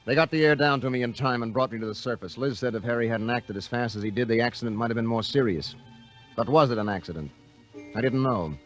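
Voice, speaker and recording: An actor playing a terse, determined treasure diver with an American accent, clipped and hard-boiled in a 1950s radio drama. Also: male voice, mid-range voice, fast talker, natural voice, rough recording. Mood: guarded, brooding. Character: terse, determined treasure-diving adventurer man. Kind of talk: 1950s radio acting and first-person narration. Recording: vintage radio recording, faint music behind.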